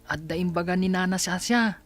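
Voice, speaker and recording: A woman's voice: on the low side, fairly quick, soft background music, lightly acted. A woman playing an earnest child talking to a mother in a Philippine radio drama. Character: farm wife's child, earnest, reporting gossip.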